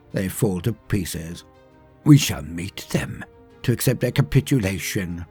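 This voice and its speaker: A man's voice: fairly low pitch, creaky, darkish tone, breathy edge, heavily acted. A man voicing a haughty, vain emperor with a pompous, projecting delivery for a sci-fi story. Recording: music under the voice.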